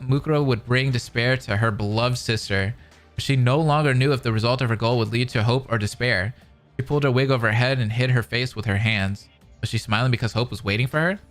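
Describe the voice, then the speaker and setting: A man's voice: medium pitch, fairly bright tone. A man doing casual, slangy, enthusiastic live commentary, reading narration aloud and reacting as a streamer. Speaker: streamer reacting to and reading a fan-dubbed visual novel. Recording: music behind the voice. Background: music.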